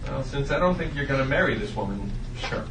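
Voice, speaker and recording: A man's voice: medium pitch, expressive, fast, natural voice. An older man playing a wry, easygoing grandfather, dry and teasing, in a sitcom table read. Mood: wry, gentle. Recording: echoey room, distant mic, clear noise.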